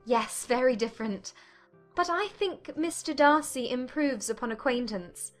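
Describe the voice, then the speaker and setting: A woman playing a poised, guarded young gentlewoman with measured, formal speech in a dramatized period audiobook. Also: sits high, animated, soft background music. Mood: polite, guarded.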